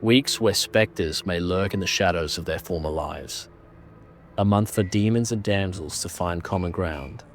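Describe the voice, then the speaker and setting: A man narrating a scripted horror story in a measured, dramatic manner. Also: low voice, soft background music.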